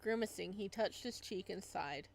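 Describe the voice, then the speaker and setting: A woman's voice: mid-range voice, moderate pace, gravelly. A woman hosting a playthrough, reading visual novel narration aloud expressively, with casual asides.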